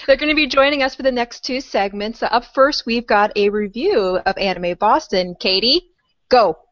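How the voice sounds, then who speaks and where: A woman's voice: on the high side, normal speed, a bit bright, dry recording. A woman hosting a podcast, introducing segments in a casual, upbeat way and laughing often. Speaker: podcast host. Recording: dull lo-fi sound.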